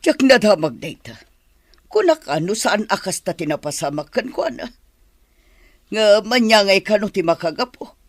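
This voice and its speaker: A woman's voice: mid-range voice, very animated, moderate pace, dry recording. A woman with an Ilocano accent playing an anxious madam in a radio drama. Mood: worried, suspicious. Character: anxious older madam blamed for a family tragedy.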